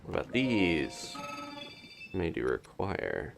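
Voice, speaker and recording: A man's voice: low voice, huge pitch swings, slow pace, creaky, over music. A man chatting casually through a live-streamed game, wondering aloud and laughing often. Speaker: streamer playing a video game.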